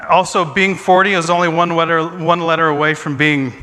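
A man's voice: fairly high pitch, little pitch movement, a little fast, sharp tone. A man in his forties, a pastor, giving a joking, self-deprecating sermon with chatty asides. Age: 40s. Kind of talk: humorous sermon talk. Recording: long reverb in a large hall.

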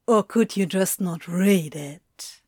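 A woman plays a sardonic man of letters in an audio story. He drawls slowly and dryly, needling his rival with mockery, in a close, dry recording. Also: on the low side, dark tone, breathy edge, no echo, exaggerated voice. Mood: teasing.

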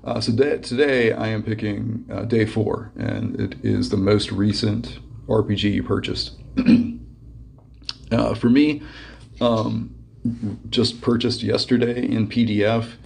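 A hoarse man fighting a cold talks his way through a casual message, stopping often and restarting. His voice sits low and comes out gravelly and husky. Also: fairly even intonation, slow pace, a little mellow. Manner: rambling, hesitant, many ums and restarts. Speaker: caller sending in a contest entry.

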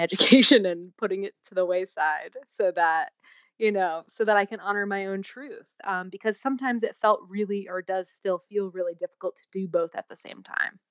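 A woman's voice: medium pitch, dry recording, dull audio. A woman who works as a coach and energy healer, speaking thoughtfully in an interview with frequent fillers. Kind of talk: reflective interview talk.

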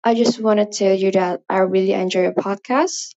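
Woman, Indonesian accent, non-native English, hesitant and rambling, mid pitch, dull lo-fi recording. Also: steady tone, normal speed, warm tone. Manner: rambling, hesitant, many fillers. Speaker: listener leaving a voice message. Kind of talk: casual spoken voice message.

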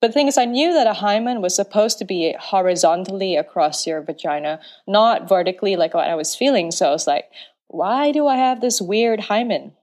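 A woman's voice: medium pitch, expressive, brisk, a little mellow. A young woman from Malaysia, a podcast guest telling her personal story in a frank, playful and articulate way. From Malaysia, Penang.

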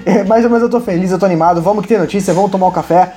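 A man with a Brazilian accent, co-hosting a casual podcast from home and presenting nerd news, excitable and quick to swear and laugh. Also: high-pitched, over a noisy crowd. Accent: Brazilian Portuguese. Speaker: podcast co-host.

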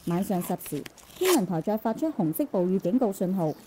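A woman with a Hong Kong accent playing a formal news reporter, reading a measured bulletin in a radio drama. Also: mid-range voice, very animated, warm tone, slight background noise, lightly acted, low-quality recording. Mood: formal, serious.

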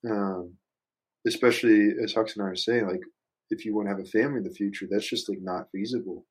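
A man chatting casually as a musician guest on a podcast, thinking out loud in a rambling, hesitant, reflective way and swearing casually. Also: on the low side, steady tone, quick pace, slightly rough, rough recording.

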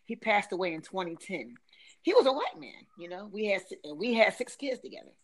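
A woman's voice: mid-range voice, expressive, a little fast. A middle-aged woman from Philadelphia with a Philadelphia accent, chatting casually, laughing often and restarting her sentences. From Philadelphia, USA. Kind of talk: casual chat.

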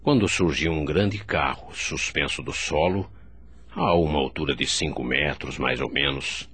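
A departed doctor recalling the afterlife in a Brazilian radio drama. His voice is extremely low yet bright and firm, and his phrasing is formal and measured. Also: a little hiss or hum, exaggerated voice. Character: male doctor's spirit recounting his arrival in afterlife. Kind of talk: scripted radio drama narration.

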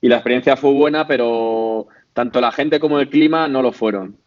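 Hombre joven madrileño, sonido apagado. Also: male voice, mid-range voice, normal speed, darkish tone, muffled recording. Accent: Spain Spanish. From Spain, Madrid. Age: 20s. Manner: hesitant, many fillers and restarts. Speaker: podcast guest. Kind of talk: casual interview chat.

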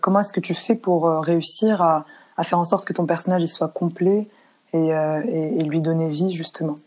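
A woman's voice: low voice, fairly even intonation, normal speed, darkish tone. A woman hosting a conversational podcast interview as the interviewer.

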